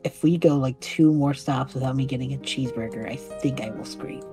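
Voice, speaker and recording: A woman's voice: a bass voice, brisk, over music. A woman voicing an easygoing, unworried young male time traveler in a conversational audio drama. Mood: playful, casual. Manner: breezy, reassuring, unworried.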